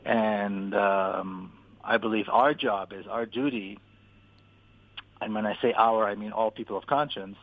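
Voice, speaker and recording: A man from Israel giving a deliberate, earnest account as a radio interview guest, repeating words for emphasis. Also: lowish voice, fairly even intonation, no room sound. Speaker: interview guest, author and activist. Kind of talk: radio interview, personal account and political argument. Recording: tinny, phone-like narrow-band line.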